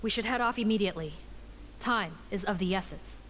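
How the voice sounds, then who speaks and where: A woman's voice: mid-range voice, brisk, fairly bright tone, a little hiss or hum, natural voice. An actress playing a dutiful, businesslike female officer of the law in a video game, formal and earnest. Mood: businesslike, urgent.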